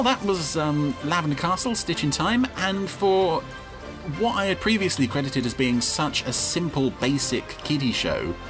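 A man co-hosting a podcast, casually commenting with wry, sarcastic asides while a clip from a show plays. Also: medium pitch. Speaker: podcast co-host reviewing an episode.

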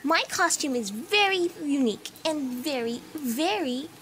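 A woman voicing an excitable, secretive, bubbly young teenage girl in a comic fandub. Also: high voice, measured pace, heavy background noise. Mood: excited.

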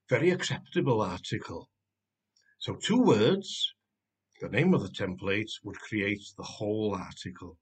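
A man in his sixties with a Welsh accent, his voice slightly husky and mid-pitched. He explains things in a measured, steady way, now and then restarting a phrase, like a presenter walking viewers through a screen tutorial from his desk at home. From Wales. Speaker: Wikimedia manager presenting a tutorial. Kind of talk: screen-recorded tutorial narration. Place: home room, indoors.

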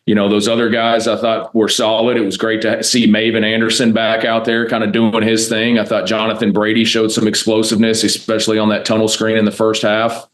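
American guy, football coach at a presser, deepish voice, pretty monotone, measured n matter of fact. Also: poor audio. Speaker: college football offensive coordinator. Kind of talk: press conference answers. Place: indoor press room, seated at a table before a backdrop.

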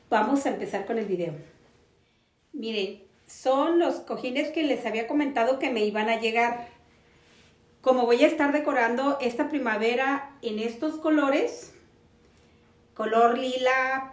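A woman in her forties talks in a fairly high voice, chatty and enthusiastic. She hosts a casual home decor review, recorded indoors in an echoey living room. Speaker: host of a home decor channel. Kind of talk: casual product review to camera. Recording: roomy echo.

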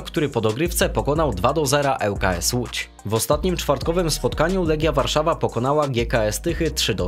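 A young man hosting a football news show, reading scripted reports in a brisk, even newsreader manner from a small room. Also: medium pitch. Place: small room with brick wall and shelves.

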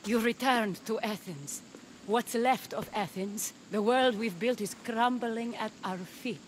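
A woman's voice: fairly high pitch, measured pace, a little hiss or hum, natural voice. An actress playing an anxious, elegant woman worried about her dying companion. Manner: worried, sighing. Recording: game score playing behind.

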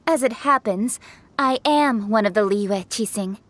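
Casting: woman playing brisk young noble official, fairly high voice, frank, formal, self-assured fantasy game character. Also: a little hiss or hum, lightly acted. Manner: assertive, blunt, formal.

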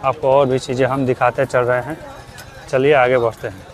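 A young man from Bihar walks through an outdoor fair talking into a lapel mic. He narrates casually and repeats his points. His voice sits at a middle pitch, and his questions to vendors come out loud. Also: level tone, moderate pace, slight background noise. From Bihar, India. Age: young adult. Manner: repetitive, asks vendors prices loudly. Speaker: vlogger. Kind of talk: walking market vlog narration. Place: outdoor crafts fair among stalls. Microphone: clip-on lapel mic.

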